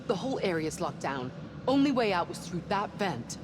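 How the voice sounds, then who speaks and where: An actress playing a blunt, practical and protective spaceship crew member in a sci-fi horror game, amid loud ship noise. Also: female voice, lowish voice, sing-song, moderate pace. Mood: frustrated, focused.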